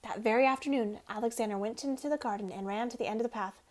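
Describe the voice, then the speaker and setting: A woman reading a children's storybook aloud as an expressive narrator. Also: a little high, fast talker. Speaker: storybook reader.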